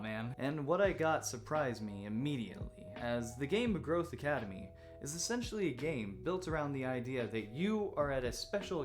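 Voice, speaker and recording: Animated male storyteller over music. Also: medium pitch. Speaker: host of a commentary series. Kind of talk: casual storytelling commentary. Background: background music with guitar.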